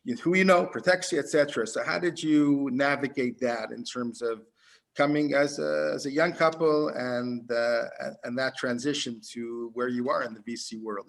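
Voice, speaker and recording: Male interviewer, medium pitch, informal and tentative phrasing, video-call conversation. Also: gravelly, a bit bright. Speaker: interviewer, moderator.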